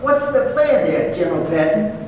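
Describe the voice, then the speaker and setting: Very high voice, man acting as a sardonic detective sidekick, halting, deadpan, radio play, echoey hall, distant mic. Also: slight background noise. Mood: deadpan, tense. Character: terse, sardonic male detective partner planning an assault. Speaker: actor in a staged radio play. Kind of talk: old-time radio drama dialogue. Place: live performance in a reverberant hall.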